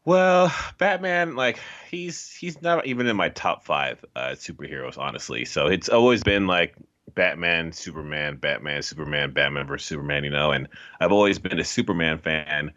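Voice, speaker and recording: A man's voice: rumbling low, animated, moderate pace, pushed and bright. A man who is a voice actor, chatting casually as an interview guest on a lo-fi microphone. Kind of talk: casual chat.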